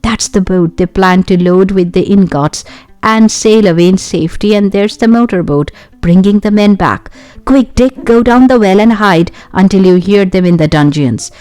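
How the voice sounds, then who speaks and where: A woman voicing a thoughtful, decisive boy who leads young adventurers in an audiobook, speaking deliberately. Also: medium pitch, a little mellow, dry recording, lightly acted. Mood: urgent. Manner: deliberate, reasoning. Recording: music behind the voice.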